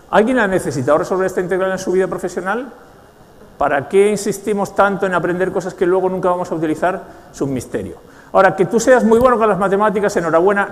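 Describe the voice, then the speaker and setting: A man's voice: sits high, long reverb tail. A man from the Basque Country with a Spanish accent, giving a joking, ironic keynote talk to a business audience. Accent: Spanish, from Spain. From Spain, Basque Country. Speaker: conference speaker. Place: large event hall.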